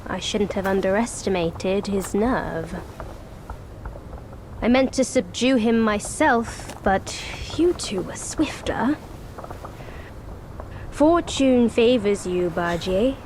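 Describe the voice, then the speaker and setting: A woman playing a sly, cryptic old immortal who speaks calmly and with amusement, in a game cutscene with background noise. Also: medium pitch, slow, long pauses, poor audio. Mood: amused, knowing. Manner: calm, amused and knowing.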